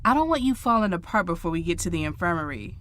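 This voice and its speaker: An actress playing a calm, blunt doctor who speaks flatly and matter-of-factly. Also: female voice, lowish voice, fast talker. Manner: flat, matter-of-fact. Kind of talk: audio drama acting.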